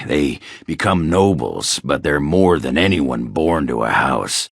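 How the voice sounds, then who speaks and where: A male actor playing a gruff, crude, hard-drinking dwarf warrior in a video game, cranky and boastful, with a growling, sardonic, blustering delivery. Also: a bass voice, a bit bright, no echo, clean studio sound, exaggerated voice. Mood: bitter, indignant. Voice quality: gravelly, growling rasp. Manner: blustering, earthy swearing, sardonic. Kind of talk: video game character acting.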